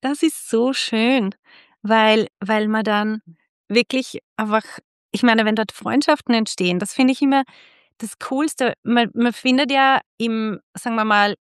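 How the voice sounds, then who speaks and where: A middle-aged woman from Austria hosting a casual interview, chatty and quick to laugh, often restarting her sentences. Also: on the high side, clean tone, no echo, clean studio sound. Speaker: podcast host and club founder.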